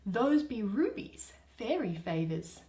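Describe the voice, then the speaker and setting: A woman's voice: mid-range voice, sing-song, slow pace, dark tone. A woman performing a dainty wandering fairy in lilting, theatrical verse. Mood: airy, dutiful. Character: dainty wandering female fairy serving the fairy queen.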